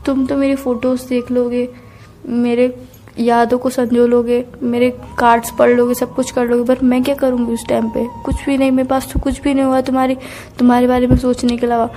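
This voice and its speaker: A young woman talks on a recorded, emotional phone call. Her voice is fairly high and mellow, with a plaintive manner and little movement in pitch. Music plays behind her. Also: monotone, brisk. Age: young adult. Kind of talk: emotional private phone conversation.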